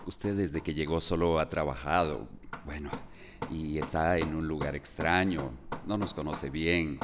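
A man's voice: very deep voice, fairly even intonation, a little slow. A man acting a polite, reassuring local man in a radio drama, hesitant in manner. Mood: shyly flirtatious. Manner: hesitant, with small fillers. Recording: faint background noise.

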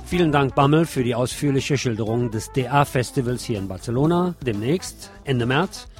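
A man with a mid-pitched voice co-hosts a radio show. He presents in a conversational handover style, with music faintly behind him. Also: expressive, normal speed. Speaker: radio co-host. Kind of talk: radio presenting, handing over.

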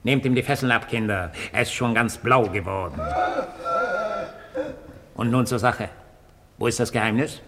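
Man as a gravelly gang boss, echoing hall. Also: mid-range voice, normal speed, long pauses, a bit bright, slight background noise, natural voice. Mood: impatient, sardonic. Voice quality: husky, gravelly. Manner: barking orders, sardonic. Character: commanding, impatient male gang boss, comically menacing. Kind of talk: 1950s German radio comedy acting. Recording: reverberant old radio drama recording.

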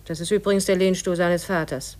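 A low-pitched woman's voice acted for radio, playing an embittered mother of many children. She speaks bluntly and resentfully, matter-of-fact and unyielding, in the manner of a 1950s German radio play. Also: steady tone, fairly quick, darkish tone, lightly acted. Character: embittered, blunt mother of seven, poor widowed-sounding cousin.

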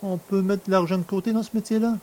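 Hapless poacher played by a man, slightly high voice, plaintive and self-pitying, audio drama. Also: steady tone, fast, dark tone, lightly acted. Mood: pleased. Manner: plaintive, theatrical pleading. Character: hapless, pleading male poacher wronged by justice, self-pitying. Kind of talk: French audio drama acting.

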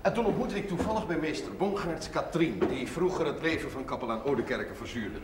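A man's voice: fairly high pitch, expressive, fairly quick, natural voice. An actor playing an urbane, self-assured clergyman in a television drama, with a dry, ironic and formal manner. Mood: dryly amused. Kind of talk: television period drama dialogue. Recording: noisy, echoey 1970s television audio.

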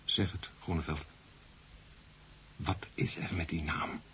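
An actor playing a calm, probing doctor in a radio drama, speaking in a measured, formal, clinical way. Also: male voice, sits low, full character voice. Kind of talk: Dutch radio drama acting. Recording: noisy radio play recording.

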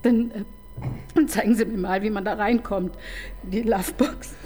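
A woman's voice: medium pitch, fairly even intonation, dark tone, lightly acted. A woman playing a wry middle-aged detective. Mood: teasing, probing. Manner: ironic, needling, quick to tease. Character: wry, probing married woman police detective. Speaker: detective chief inspector. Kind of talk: radio crime drama acting.

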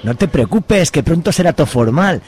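Actor as a whiny, husky, pious young Spanish man. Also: a little high, expressive, moderate pace, a little mellow. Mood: smitten. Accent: Spain. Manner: whiny, wheedling. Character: whiny, pious, posh young fiancé, pleading. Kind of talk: audio drama acting.

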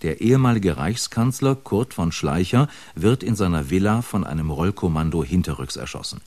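A man announcing upcoming radio crime dramas in a smooth, scripted trailer narration. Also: sits low, a little mellow, no echo.

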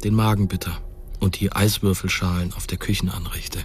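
A man's voice: deep voice, little pitch movement, fairly quick. A man giving scripted literary narration in a radio drama.

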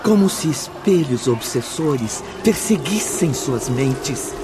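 An actor narrating a dark radio drama in a solemn, measured, ominous way. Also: male voice, medium pitch, animated, background noise. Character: solemn omniscient male narrator of a dark spiritual tale. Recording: loud dramatic music under the voice.

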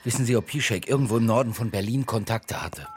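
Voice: medium pitch, fast, a little hiss or hum, natural voice. An actor playing a focused police detective with insistent, clipped questioning in a radio crime drama. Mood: focused, pressing. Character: focused, pressing police detective on a missing-person case.

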